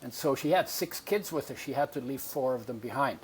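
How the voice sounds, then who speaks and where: An elderly man in his eighties, from Poland, recounts his past as a podcast guest. His voice sits at an ordinary middle pitch, and he slips into the voices of people he remembers. A faint hiss of noise runs under the recording. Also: brisk. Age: 80s. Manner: animated storyteller, acts out remembered voices. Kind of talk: storytelling in a podcast interview.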